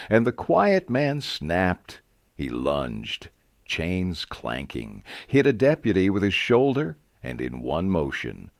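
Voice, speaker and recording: A man's voice: low voice, gravelly, a little mellow, dry recording, clean studio sound. A man narrating a scripted Western story dramatically, in short, suspenseful phrases.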